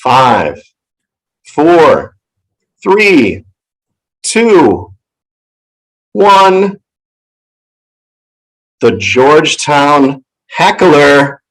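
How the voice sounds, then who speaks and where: A man with a loud voice hosting a casual live stream in a playful, showman-like way, close to the microphone. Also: a little high, very animated, drawn-out words, long pauses, low-quality recording. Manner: playful showman, theatrical announcing. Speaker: show host. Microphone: close professional microphone on an online call.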